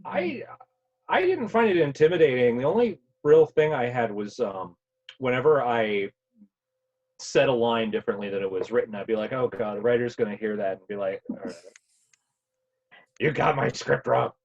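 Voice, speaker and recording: A man's voice: medium pitch, expressive, moderate pace, darkish tone. Muffled lo-fi male actor chatting. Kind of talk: casual chat. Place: video call. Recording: dull lo-fi video-call audio.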